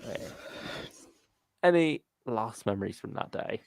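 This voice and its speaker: Casually chatting man with heavy vocal fry. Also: on the low side, expressive, measured pace, slight background noise. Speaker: host of a fan discussion livestream. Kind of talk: casual chat.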